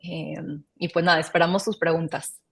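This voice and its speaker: Woman, interviewer, slightly low voice, polite, steady, video call. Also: moderate pace, slightly rough. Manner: polite, steady, guides the talk. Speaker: webinar host and interviewer. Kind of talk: live webinar interview.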